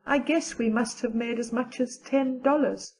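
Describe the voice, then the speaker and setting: A woman's voice: a little high, normal speed, darkish tone, slight background noise, lightly acted. A woman playing a practical, chatty, loyal teenage girl with a matter-of-fact, gossipy manner in an audio drama. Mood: admiring, chatty.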